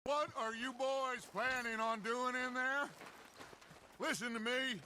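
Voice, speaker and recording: An actor playing a charismatic, commanding middle-aged outlaw gang leader in a western game, with a theatrical, oratorical delivery. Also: male voice, very high voice, fairly even intonation, slow pace, bright, forceful tone, slight background noise, full character voice. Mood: menacing. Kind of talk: dramatic western game acting.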